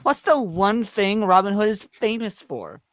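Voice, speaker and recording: A woman's voice: medium pitch, sing-song, unhurried, dry recording. Woman reading as smug taunting male trickster, close and dry. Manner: theatrical, mock-courteous, goading. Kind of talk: live amateur script reading.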